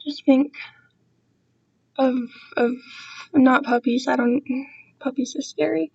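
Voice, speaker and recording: High-pitched young woman, rambling chattily. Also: steady tone, slow pace, vocal fry, a little mellow, breathy edge, lo-fi sound. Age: young adult. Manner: self-deprecating, digressive, slangy. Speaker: livestreamer. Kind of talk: casual rambling chat to livestream viewers. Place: alone in own room.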